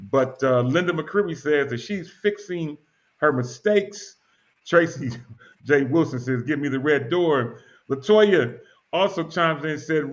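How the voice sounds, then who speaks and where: A man hosting a casual live talk show, easygoing and chatty, reading out viewer comments and often stumbling with "uh" and repeated words. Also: a little high. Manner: easygoing, repeats himself and fills with "uh". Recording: dull lo-fi audio.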